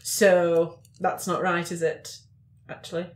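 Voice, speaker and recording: A woman's voice: lowish voice, little pitch movement, takes it slowly. A woman playing a prim, fussy, pedantic newspaperwoman in a tabletop roleplaying game. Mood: indignant. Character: prim, fussy, indignant newspaperwoman in floral dressing gown. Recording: roomy indoor echo.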